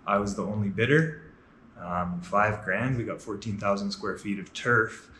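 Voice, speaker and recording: A man in his thirties, a gym owner telling his story in a relaxed, matter-of-fact way with many fillers. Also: fairly low pitch, moderate pace, slight background noise. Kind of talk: casual storytelling on a walking tour. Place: large indoor gym with murals. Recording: echoey gym room with faint ambience.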